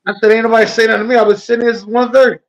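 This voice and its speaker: A man's voice: extremely high pitch, level tone, racing. A man hosting a casual interview, talking in a loose, slangy way and often repeating his phrases. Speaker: host.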